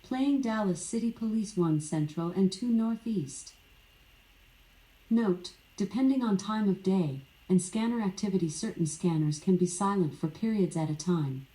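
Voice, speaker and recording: A synthetic woman's voice, evenly measured, reading scripted voice-assistant prompts through a smart speaker. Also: medium pitch, warm tone. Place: indoor room, from a speaker on the floor. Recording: smart speaker playing in a roomy space.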